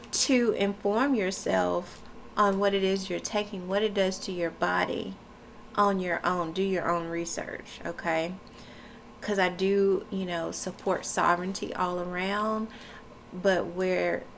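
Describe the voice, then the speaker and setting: Woman vlogging w stuffy froggy voice, gravelly, kinda flat, lots of ums. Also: mid-range voice, a little hiss or hum. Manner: chatty, loose, many fillers, laughs often. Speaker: wellness vlogger. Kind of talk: casual talk to camera. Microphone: camera mic.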